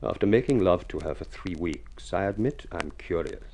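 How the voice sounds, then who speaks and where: Low-voiced, silver-tongued radio drama gentleman. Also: expressive, slight background noise. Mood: wry. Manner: grand, deliberate, theatrical delivery. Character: ugly, masterful, silver-tongued Englishman, suave and proud. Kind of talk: 1950s radio drama acting and narration. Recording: old radio recording with music.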